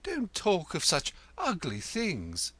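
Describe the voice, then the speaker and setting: A man's voice: sits high, big pitch swings, measured pace, sharp tone, dry recording, pitched above the performer's own voice, full character voice. A man voicing a vain, flowery poet lodger who talks theatrically and pities himself. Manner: flowery, theatrical, self-pitying. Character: florid vain self-pitying male poet lodger.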